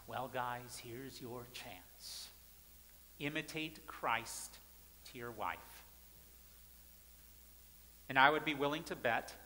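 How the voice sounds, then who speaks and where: A man in his thirties, a pastor, preaching at a pulpit in a large church sanctuary with emphatic, repeated phrases that swing between loud and soft. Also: sits high. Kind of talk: sermon. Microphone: pulpit mic, far camera. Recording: church hall reverb.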